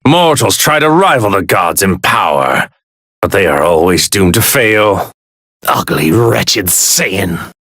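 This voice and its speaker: Haughty villainous god, swooping delivery. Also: male voice, low-pitched, very animated, slow pace, fairly bright tone, no echo, clean studio sound, heavily acted. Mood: smug contempt. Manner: arrogant, disdainful, grandly formal. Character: haughty villainous god in a stolen warrior body. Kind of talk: theatrical anime fighting game voice lines.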